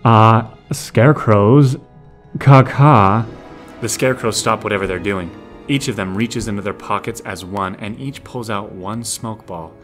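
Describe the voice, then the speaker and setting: A man narrates an audio drama in scripted, present-tense action prose. His voice sits fairly low. Music is prominent underneath. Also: moderate pace, a bit bright.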